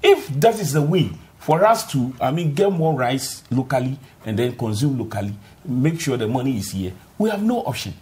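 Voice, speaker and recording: A man's voice: mid-range voice, very animated, normal speed, hardly any pauses, darkish tone. A man, an importer on a TV panel, who starts hesitantly and then argues with rising emphasis. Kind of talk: panel discussion.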